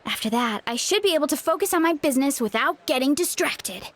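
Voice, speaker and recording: A woman's voice: high-pitched, lively intonation, quick pace, a little hiss or hum. A woman voicing a cheerful, easygoing young fruit seller in an anime-style video game, friendly and earnest. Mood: eager. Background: game music.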